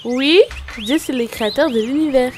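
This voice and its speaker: Female performer as keen small girl, high-pitched, earnest, confident, kids' cartoon, slight background noise. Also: moderate pace, lightly acted.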